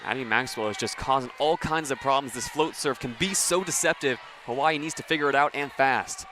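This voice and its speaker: A man's voice: medium pitch, a little fast, bright, forceful tone. A man giving live color commentary and analysis on a radio sports broadcast. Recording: noisy gym with court sounds behind. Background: gym ambience, bouncing ball.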